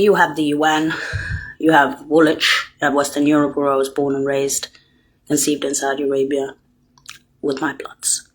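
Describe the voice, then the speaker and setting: An older woman with a very husky, breathy voice that sits low in her register. She rambles on, dropping to near-whispers and then punching words out loud, speaking straight at her listeners in an accusing tone. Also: low-quality recording. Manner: lurches between hushed whispers and loud emphatic bursts; accusatory, addresses listeners directly. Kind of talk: rambling monologue to camera.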